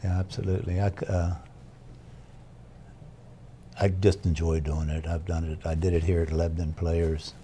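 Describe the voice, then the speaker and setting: An older man from Ohio, a stage actor chatting haltingly in an interview with short answers. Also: low voice, little pitch movement, dark tone, slight background noise. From Ohio, USA. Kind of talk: casual interview chat.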